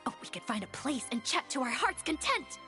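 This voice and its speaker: An actress voicing a cheerful young woman who warmly welcomes her friends, in a bubbly, drawn-out lilt. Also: sits high, sing-song, soft background music, exaggerated voice. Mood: bubbly welcoming. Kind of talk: game voice acting.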